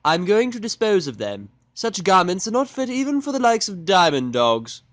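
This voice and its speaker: A man plays a fussy fashionista mare in an audio drama. The voice is pitched well up, prim and haughty, drawing words out with theatrical flair. The sound is close and dry. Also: big pitch swings, fairly bright tone, no echo. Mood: haughty, disdainful. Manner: haughty, drawn-out, dramatic delivery. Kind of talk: fan fiction audio drama character voice.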